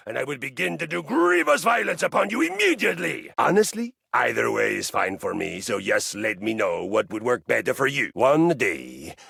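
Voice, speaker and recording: An actor voicing a grandiose, zealous treadmill personified in a video game, telling sagas in a pompous, theatrical way with deadpan asides. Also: male voice, lowish voice, creaky, breathy edge, no echo, studio quality, full character voice. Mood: menacing, offhand. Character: zealous, grandiose treadmill deity, boastful lover of violence. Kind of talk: dramatic video game character monologue.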